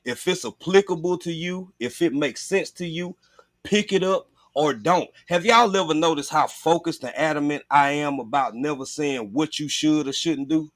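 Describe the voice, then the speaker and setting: A man's voice: on the high side, moderate pace, no room sound, studio quality. A man chatting casually on a livestream in a blunt, profane, informal way. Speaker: livestream host.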